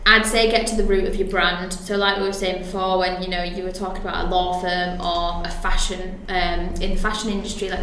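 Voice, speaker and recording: A woman's voice: medium pitch, a bit bright, a little hiss or hum. Chatty woman, very echoey room. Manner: chatty, lots of fillers and restarts, quick to laugh. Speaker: guest copywriter. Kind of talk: casual podcast interview.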